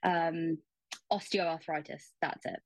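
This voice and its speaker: A woman's voice: sits low, steady tone, slow pace, a little mellow, no room sound. A woman from the UK presenting news stories as a fill-in contributor, informal and searching for words. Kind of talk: casual news story chat.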